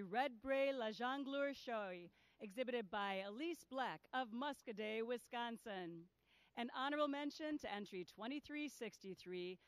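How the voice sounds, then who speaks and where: A woman working as ring announcer, reading out entries, exhibitors and sponsors in a measured, formal way at a large indoor show arena. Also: a little high, smooth, dry recording. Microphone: handheld PA microphone.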